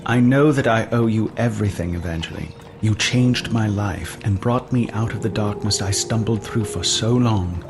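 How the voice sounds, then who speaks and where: A man's voice: lowish voice, normal speed, few pauses, background noise, natural voice. A man playing a devout, world-weary and devoted companion in an audio drama, speaking earnestly and formally. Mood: devoted, longing.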